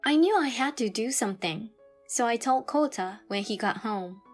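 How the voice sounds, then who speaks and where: A woman's voice: fairly high pitch, expressive, normal speed, faint music behind. A woman giving scripted first-person story narration.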